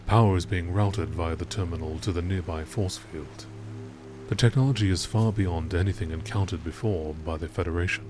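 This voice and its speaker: Low-voiced man narrating like a nature documentary. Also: over music. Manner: measured, formal, informational reading. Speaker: narrator reading game lore entries.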